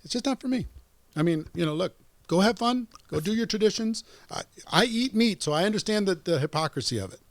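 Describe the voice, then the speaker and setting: A man in his fifties speaks with a gravelly, fry-laced voice as a podcast guest. He talks emphatically and pushes his points hard, recorded dry and up close. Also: high voice, brisk, no room sound. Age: 50s. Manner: emphatic, animated storyteller. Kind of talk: casual interview chat.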